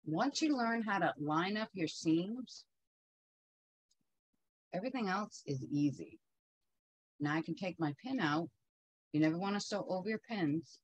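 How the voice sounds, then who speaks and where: A woman's voice: on the low side, expressive, a little slow, pauses often, muffled recording, slight background noise. A middle-aged woman teaching quilting in a casual, practical way, chatting as she pins and sews in her home sewing studio. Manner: chatty, practical, talks while working.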